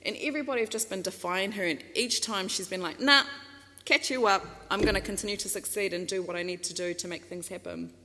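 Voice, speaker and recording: A woman's voice: mid-range voice, fast talker. Chatty New Zealand woman in a hall. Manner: chatty and warm, laughs often, many fillers and restarts. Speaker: speaker giving a talk. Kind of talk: casual public talk with a slideshow. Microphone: microphone on a stand. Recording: long hall reverb.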